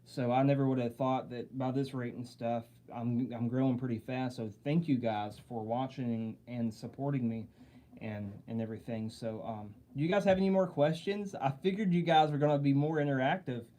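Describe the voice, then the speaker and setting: A man's voice: medium pitch, moderate pace, mellow. A young man with a Southern US accent hosting a live wood carving Q&A, talking in an earnest, rambling way and repeating himself as he addresses viewers. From southern United States. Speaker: wood carving channel host. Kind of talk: live-stream Q&A chat. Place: home workshop with lights.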